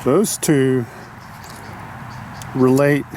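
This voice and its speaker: A man giving a casual, unscripted hands-on repair demonstration, halting as he thinks aloud. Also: mid-range voice, steady tone. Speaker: hobbyist radio restorer demonstrating a repair. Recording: clearly noisy amateur video sound.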